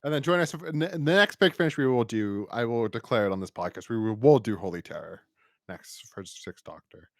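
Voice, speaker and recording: Male podcast co-host, casual and enthusiastic reviewer, very lively pitch, rambling delivery, dry recording. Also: fairly quick, dry recording.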